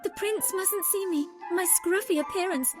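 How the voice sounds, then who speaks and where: A woman's voice: very high voice, normal speed, over music. A woman playing a sweet, anxious young fairytale heroine in a children's storybook, exclaiming theatrically. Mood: panicked. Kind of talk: children's storybook character acting.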